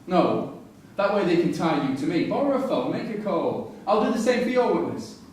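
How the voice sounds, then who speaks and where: A man's voice: high-pitched, big pitch swings, moderate pace. A man acting a scheming, streetwise prisoner in a staged reading, cocky and needling, full of matey slang. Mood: calculating, persuasive. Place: theatre room at a live staged reading. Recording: very echoey hall, distant mic, noise.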